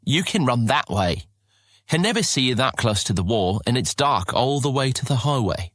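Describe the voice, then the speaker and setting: A man's voice: medium pitch, very animated, moderate pace, fairly bright tone, dry recording, clean studio sound. A man playing a mischievous young street rat in a fantasy audio drama, teasing and persuading as he talks. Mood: eager, scheming.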